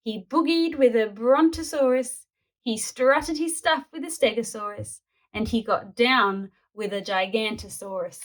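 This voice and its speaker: A woman storyteller reading a children's picture book aloud in an animated narrating style. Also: on the high side, measured pace, low-quality recording.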